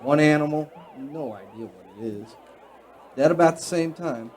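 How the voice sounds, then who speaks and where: A man's voice: on the high side, moderate pace, darkish tone, natural voice. An actor playing a deferential police officer reporting to his superior in a radio drama. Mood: dutiful, intrigued.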